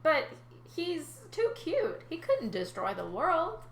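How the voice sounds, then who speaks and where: A woman's voice: sits high, huge pitch swings, slow pace, vocal fry, voice pushed up, exaggerated voice. An earnest, protective boy hero from a storybook , read by a woman. He pleads in a lifted voice, with roomy echo and faint noise. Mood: pleading, protective.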